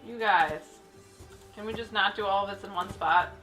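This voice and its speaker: A woman's voice: mid-range voice, normal speed, pushed and bright, faint music behind, low-quality recording. A woman hosting a gaming video with casual commentary, bantering with the characters in an exasperated way. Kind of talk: casual let's play commentary.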